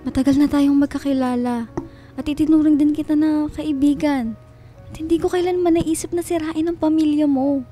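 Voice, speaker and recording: Actress as an apologetic, high-voiced gentle friend. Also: female voice, steady tone, normal speed, natural voice. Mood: remorseful. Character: apologetic, gentle woman friend, remorseful and yielding. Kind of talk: Filipino radio drama acting. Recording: guitar music bed under the voice.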